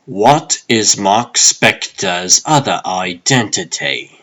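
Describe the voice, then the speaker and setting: A man voicing a sadistic, menacing interrogator in a comic-book dub, speaking with slow threat. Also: on the low side, lively intonation, a bit bright, voice pushed down, exaggerated voice. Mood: cold menace.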